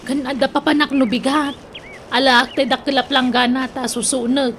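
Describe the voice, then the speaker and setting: A woman's voice: high-pitched, little pitch movement, moderate pace, fairly bright tone. An actress playing a plain-spoken, easygoing village woman in an Ilocano radio drama, with an Ilocano accent. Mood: matter-of-fact. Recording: faint background noise.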